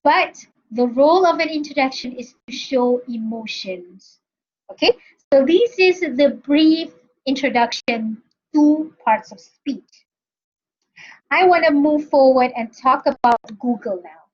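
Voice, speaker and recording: A woman's voice: high voice, a little slow, lo-fi sound. A woman from Malaysia with a Malaysian English accent, teaching English grammar in a recorded lesson. She explains step by step and often checks in with the class by asking "okay?". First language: not English. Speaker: university English lecturer.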